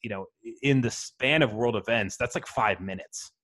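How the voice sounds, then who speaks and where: A man's voice: mid-range voice, moderate pace, fairly bright tone. A man hosting a podcast interview, talking conversationally, asking open questions and dropping jokey asides. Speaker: podcast host. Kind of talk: casual interview chat.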